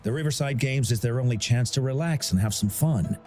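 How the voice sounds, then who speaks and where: A man's voice: medium pitch, fairly quick, faint music behind, close to an everyday voice. An actor playing a genial, talkative older man who directs a sporting festival in a video game. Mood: nostalgic. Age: middle-aged. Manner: genial, chatty, expressive.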